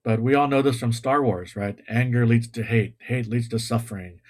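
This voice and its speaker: A man giving a sermon to his congregation over a video call, relaxed and self-deprecating, with pop-culture asides. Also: medium pitch, normal speed. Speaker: pastor. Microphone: video call or livestream.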